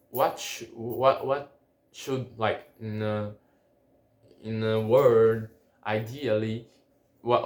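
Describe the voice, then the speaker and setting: Young Brazilian man, non-native English, slow and halting, roomy echo on the voice. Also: medium pitch. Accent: Brazilian Portuguese. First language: Portuguese. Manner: halting, many restarts and repeated words. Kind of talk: casual personal monologue.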